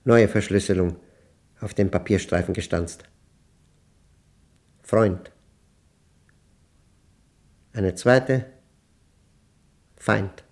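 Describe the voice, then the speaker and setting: A man acting a brooding mathematician in a German radio play, reading his diary in terse, deliberate, measured fragments. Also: low voice, a little mellow, exaggerated voice. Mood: obsessive, controlled.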